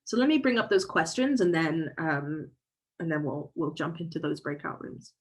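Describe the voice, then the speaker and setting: A woman's voice: deep voice, animated, moderate pace. A woman facilitating an online workshop over a video call, speaking thoughtfully with many fillers and restarts.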